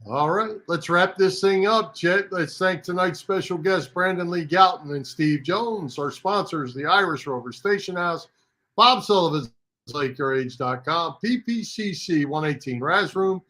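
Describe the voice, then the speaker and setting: A male sports radio host with a fairly high-pitched voice. He speaks casually but with emphasis, in the easy manner of sports talk, and tends to repeat phrases. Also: unhurried, bright, forceful tone.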